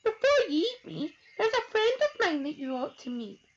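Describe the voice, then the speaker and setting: A woman voicing a tiny, quick-witted male mouse with a squeaky, sly voice in a storybook reading. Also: very animated, dull audio, exaggerated voice.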